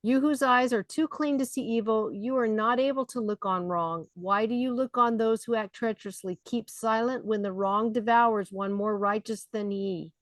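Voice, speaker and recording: A woman's voice: a little high, steady tone, normal speed, few pauses, no room sound. A woman leading a study group, reading scripture aloud and explaining it conversationally. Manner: conversational, with fillers between readings.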